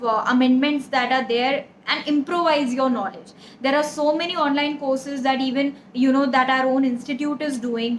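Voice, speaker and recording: A young woman speaks with an Indian accent in a high-pitched voice. She explains things earnestly, like a mentor advising viewers directly. The recording has a noticeable room echo and faint noise behind her. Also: fairly bright tone. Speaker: career advice host. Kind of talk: advisory talk to camera. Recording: echoey room, audible background noise.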